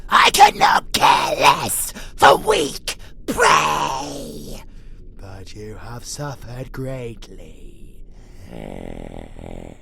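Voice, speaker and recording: A menacing vampire abomination for a monster roleplay audio, with a heavily gravelled growl. He speaks very slowly and theatrically, predatory and possessive, sliding from breathy whispers into roars over faint background noise. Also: mid-range voice, bright, forceful tone, exaggerated voice. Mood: grudging respect.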